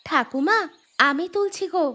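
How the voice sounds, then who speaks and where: Woman voicing a high-pitched gentle village mother. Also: moderate pace. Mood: pleading, hurt. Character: poor, gentle, pleading young village wife and mother. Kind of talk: cartoon story dialogue.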